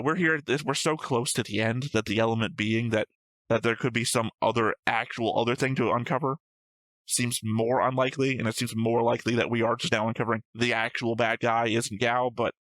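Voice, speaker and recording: A man's voice: fairly low pitch, fairly bright tone, no room sound, rough recording. A man co-hosting a reaction show, reasoning aloud in a casual, argumentative discussion of story theories. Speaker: co-host of an anime reaction show.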